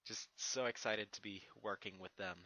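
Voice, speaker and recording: A man's voice: on the low side, steady tone, normal speed, a crowd in the background, low-quality recording. A man who reads fan fiction, giving a casual channel update in a hesitant, rambling, stammering way. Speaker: fan fiction reader and online creator.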